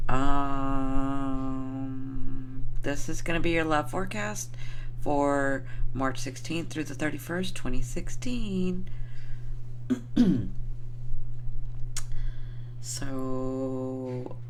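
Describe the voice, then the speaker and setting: A hoarse woman who is getting over the flu, giving an affectionate, rambling tarot reading to her viewers with lots of fillers. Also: extremely low pitch, drawn-out words, pauses often, a little hiss or hum, poor audio. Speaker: tarot reader.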